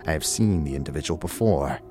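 A man's voice: a bass voice, brisk, mellow, voice pushed down. A man voicing a methodical mortuary record-keeper who reads out entries in a clipped, clinical way for an audio drama. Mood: clinical, detached. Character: dry, methodical mortuary clerk reading ledger entries. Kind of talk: audio drama document reading. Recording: music under the voice.

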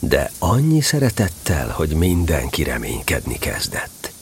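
A man narrating a children's story expressively, with a husky, breathy voice. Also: extremely low pitch, huge pitch swings, a little hiss or hum.

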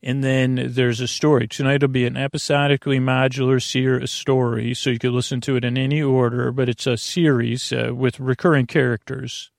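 A man hosting a sleep podcast as a bedtime storyteller, mumbling along in a halting, meandering, digressive monologue with a creaky voice. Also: mid-range voice, flat delivery, dry recording, clean studio sound.

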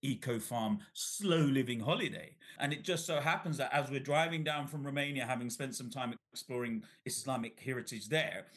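A middle-aged man from Bangladesh, a guest author in an interview, telling his story in an animated way, often restarting and repeating words. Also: medium pitch, normal speed, hardly any pauses.

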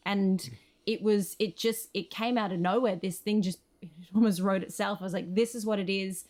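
A woman's voice: mid-range voice, steady tone, normal speed, darkish tone. A woman with an Australian accent telling stories in a conversational interview, enthusiastic and emphatic. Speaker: screenwriter and actor, interview guest.

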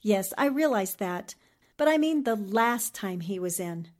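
An actress playing a shrewd, confident newspaperwoman sleuth in a 1940s-style radio mystery, deliberate and probing. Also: medium pitch, animated, lightly acted. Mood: thoughtful.

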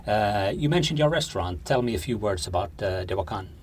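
A man's voice: lowish voice, expressive, a little fast, soft background music. A man hosting an interview podcast, presenting and putting questions to his guest.

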